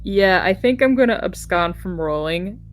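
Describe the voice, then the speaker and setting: A woman's voice: medium pitch, expressive, moderate pace. A woman chatting casually as a player in a tabletop role-playing game.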